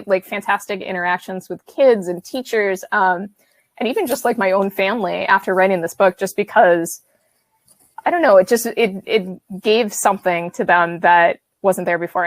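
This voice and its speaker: A woman's voice: medium pitch, no room sound. A woman in her thirties from the American Midwest, an author-illustrator chatting casually on a video call, rambling with lots of fillers and restarts. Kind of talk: casual conversation. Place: home studio room with brick wall. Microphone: video call webcam.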